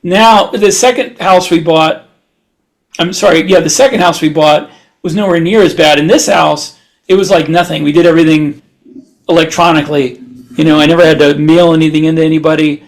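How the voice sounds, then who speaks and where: A man with a somewhat high-pitched voice, talking casually as a podcast co-host. He tells things from his own experience in an informal, conversational style. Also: steady tone, a little fast.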